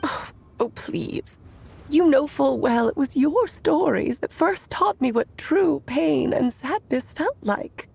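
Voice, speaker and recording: A woman playing a cheerful, theatrical witch in a video game, with playful, affectionate, drawn-out phrasing. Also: sits high, expressive, creaky, darkish tone, slight background noise, exaggerated voice. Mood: sorrowful.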